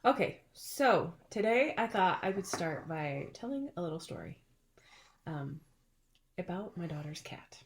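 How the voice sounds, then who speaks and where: A woman's voice: lowish voice, very animated, a little slow, slight background noise. A middle-aged woman hosting a casual chat, telling stories in a chatty, playful way and laughing often. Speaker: podcast host.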